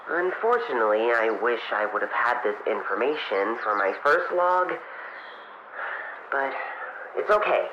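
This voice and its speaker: Through a muffled radio filter and clear noise comes a man acting as a young fox adventurer in an audio drama. He is earnest and hesitant, taking his time as he records his log and often letting thoughts trail away. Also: mid-range voice.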